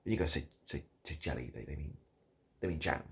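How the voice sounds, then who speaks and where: Deep gravelly British man, rambling deadpan. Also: fairly quick. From United Kingdom. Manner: hesitant, rambling, repetitive, deadpan. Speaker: comedic video host. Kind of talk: improvised absurd comedy roleplay addressed to the viewer.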